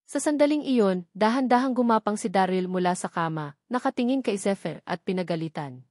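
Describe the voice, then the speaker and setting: A woman's voice: medium pitch, lively intonation, brisk, darkish tone, dry recording, clean studio sound. A woman reading a novel aloud as a scripted audiobook narrator, keeping a formal reading voice.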